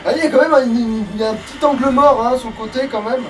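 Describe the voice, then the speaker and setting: A man's voice: very high-pitched, fast, recorded from a distance, poor audio. A man giving casual, sweary and exasperated commentary while he plays a video game. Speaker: let's player playing the game. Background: video game music.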